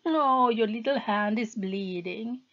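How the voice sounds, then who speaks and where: A possessive, volatile husband in a storybook, condescending and sweet-talking toward his wife, read by a woman in close to her own voice. He speaks slowly, and the recording is dull and lo-fi. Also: a little high. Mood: coaxing.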